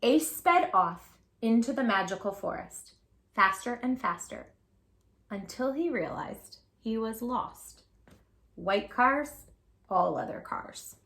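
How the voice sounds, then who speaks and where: A woman hosting storytime, reading a children's picture book aloud in a gentle, expressive, affectionate way. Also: fairly high pitch, takes it slowly, roomy sound.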